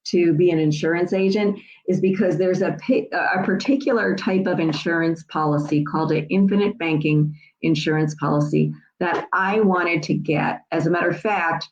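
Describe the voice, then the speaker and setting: A woman in her sixties hosts a casual, explanatory talk in a slightly low voice, chatty and repetitive, often pausing to check in with her listeners. The sound is dull and lo-fi, with a roomy echo around her. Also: fairly even intonation. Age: 60s. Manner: chatty, repeats herself, checks in with "all right?" and "okay?". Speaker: host. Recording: echoey room, dull lo-fi sound.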